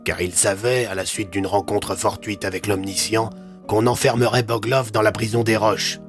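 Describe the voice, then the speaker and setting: A man's voice: fairly low pitch, a bit bright, lightly acted. A man voicing a weary, blunt police commissioner in an audio drama, explaining things at length with mild swearing.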